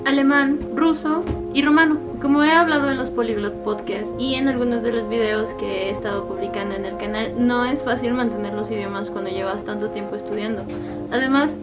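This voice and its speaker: A woman in her twenties with a Mexican accent, chatting directly to the viewer in a casual vlog about how she studies languages. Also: on the high side. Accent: Mexican Spanish. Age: 20s. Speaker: language-learning YouTuber. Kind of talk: casual explanatory vlog talk.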